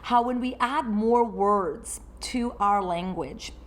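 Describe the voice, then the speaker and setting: A woman's voice: medium pitch, slow. A woman therapist who grew up in the United States, hosting her own solo podcast and reflecting aloud in an unscripted way.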